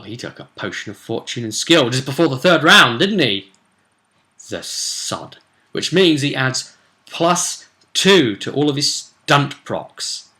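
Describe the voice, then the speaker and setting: Bright-voiced British man, chatty game master. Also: medium pitch. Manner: chatty, digressive, with playful asides and emphatic bursts. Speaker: game master recapping a tabletop role-play session. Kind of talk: casual animated recap to camera.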